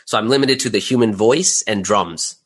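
A man with a fairly low voice talks as a guest in a relaxed interview. He explains his work conversationally, one step at a time, often checking that the listener follows. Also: normal speed, breathy edge. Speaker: interview guest, audio drama creator and voice actor.

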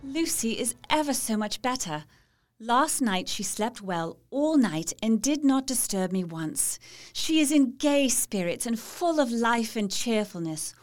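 A woman's voice: on the high side, lively intonation, measured pace, faint music behind. A woman playing a caring, watchful young Victorian woman reading out her journal thoughtfully in a gothic audio drama. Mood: fond, relieved.

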